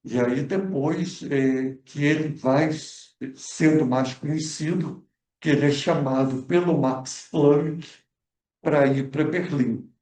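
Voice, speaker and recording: A man's voice: a little high, slow pace, darkish tone. A man, a guest researcher explaining history at a film club debate, hesitant with many fillers and restarts. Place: event room at a cultural center. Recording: echoey room, speaker far from mic.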